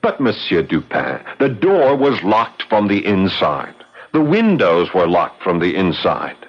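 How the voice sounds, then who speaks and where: An actor playing a puzzled, earnest detective's assistant, protesting in a 1970s radio mystery. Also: male voice, sits low, very animated, unhurried, exaggerated voice. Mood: perplexed. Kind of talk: 1970s radio drama acting. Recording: muffled vintage radio broadcast.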